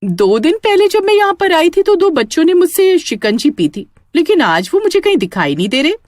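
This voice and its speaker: A woman's voice: very high-pitched, very animated, a little fast, darkish tone, no echo, studio quality, pitched above the performer's own voice. A woman voicing a sly, menacing witch who poses as a street drink seller in a cartoon horror tale. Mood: sing-song, coaxing. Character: sly female witch posing as lemonade seller.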